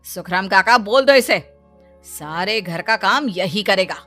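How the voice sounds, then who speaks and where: A woman's voice: mid-range voice, animated, unhurried, fairly bright tone, soft background music. A woman voicing a stern, domineering rich middle-aged mother in a cartoon story, in a scolding, bossy manner.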